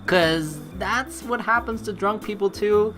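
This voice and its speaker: A man giving casual, rambling gameplay commentary and reading dialogue aloud, excitable, informal and sweary. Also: high-pitched, measured pace. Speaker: let's player. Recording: game music behind the voice. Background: game music.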